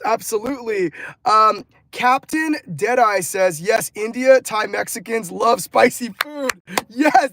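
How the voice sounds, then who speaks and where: A man's voice: very high-pitched, dry recording. Man, live stream host, effusive and upbeat, laughs a lot, dry close sound. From Los Angeles, California. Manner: upbeat, effusive, laughs often, repeats words. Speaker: livestream host and motivational coach. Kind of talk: live stream chat, reading out comments.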